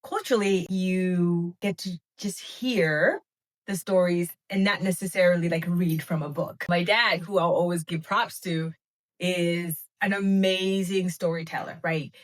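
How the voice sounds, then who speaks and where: A woman's voice: fairly low pitch, fairly even intonation, unhurried. A middle-aged woman from Malawi hosting a podcast as a doula, speaking earnestly and often checking in with "right?". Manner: earnest, repeats phrases, asks "right?". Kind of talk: heartfelt solo podcast talk.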